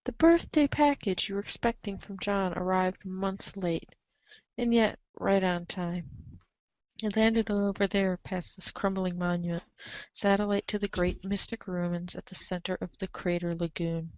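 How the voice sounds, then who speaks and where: A woman's voice: mid-range voice, fairly even intonation, unhurried, darkish tone, no echo. Dry, dull-sounding woman narrator, theatrically wry. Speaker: narrator reading a webcomic aloud. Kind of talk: dramatic scripted narration with casual asides.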